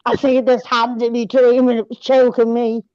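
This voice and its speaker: A woman's voice: a little high, little pitch movement, moderate pace, clean tone, no room sound, highs cut off. A woman hosting a casual chat, laughing often and swearing freely. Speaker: host.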